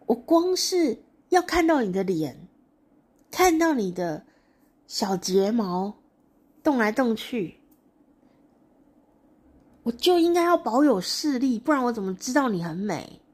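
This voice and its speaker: A woman's voice: a little high, very animated, a little slow, long pauses, darkish tone, breathy edge, pitched above the performer's own voice, full character voice. Woman doing a stubborn young guy in love, keeps asking questions, earnest. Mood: adoring.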